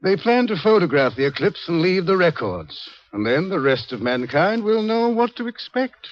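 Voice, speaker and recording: Animated wry professor, old radio. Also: male voice, high voice, normal speed. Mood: grave, lecturing. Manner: lecturing, wry, theatrical. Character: scrawny, wry male scientist, eccentric professor foretelling doom. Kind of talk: 1950s radio drama acting. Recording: dull vintage 1950s radio recording.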